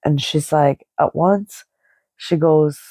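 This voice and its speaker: A woman's voice: low-pitched, slow pace, warm tone, dry recording. A middle-aged woman podcast host telling a personal story casually in a rambling, conversational way, with a nasal voice stuffed up by a head cold. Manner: rambling, conversational, quoting dialogue as she goes. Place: indoors, lying down, dry close sound.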